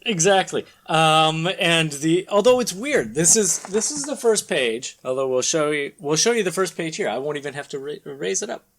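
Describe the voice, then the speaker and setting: A man hosting a podcast with casual banter, speaking in a halting, rambling way. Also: a little high, animated, fairly bright tone. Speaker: podcast host. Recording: background noise.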